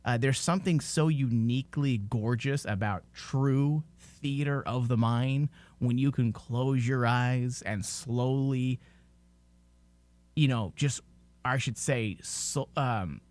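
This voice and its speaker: A man's voice: mid-range voice, slow, a little mellow. A man hosting a podcast, talking casually and giving his opinions emphatically. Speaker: podcast host.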